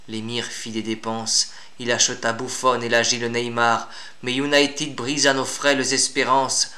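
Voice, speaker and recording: Bright-voiced man solemnly declaiming verse. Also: medium pitch, a little hiss or hum. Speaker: poet reciting own poem. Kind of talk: dramatic poetry recitation, formal classical verse.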